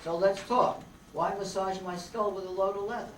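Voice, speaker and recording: A noisy, distant recording in a roomy space carries a hard-boiled radio private eye played by a man. He is brash and sardonic, full of slangy wisecracks, with a slightly high voice. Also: steady tone, normal speed, a bit bright. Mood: brash, irritated. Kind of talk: old-time radio detective narration and dialogue.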